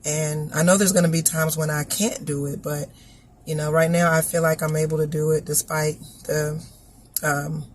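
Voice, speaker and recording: A woman, hoarse and scratchy after an illness, giving a casual motivational talk that explains ideas step by step and keeps checking in with listeners. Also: sits low, flat delivery, moderate pace. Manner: teaching, repeats points and checks in with the listener. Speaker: vlogger giving inspirational talks.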